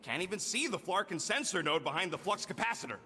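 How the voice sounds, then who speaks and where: A man's voice: sits high, expressive, fast, bright, forceful tone, long reverb tail, slight background noise, exaggerated voice. A man voicing an irritable, cocky little talking raccoon mechanic in a video game, snappy and sarcastic. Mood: irritated. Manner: snappy, sarcastic, technical jargon.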